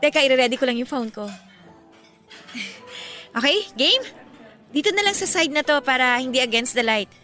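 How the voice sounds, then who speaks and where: A woman's voice: sits high, animated, normal speed, long pauses, pushed and bright, faint music behind. An actress playing a playful, affectionate young woman in a Filipino radio romance drama, with a teasing, conversational way of talking. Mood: happy, affectionate.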